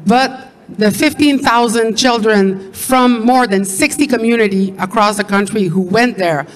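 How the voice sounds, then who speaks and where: A narrator speaking documentary lines in a firm, deliberate way. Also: medium pitch, big-room sound, poor audio.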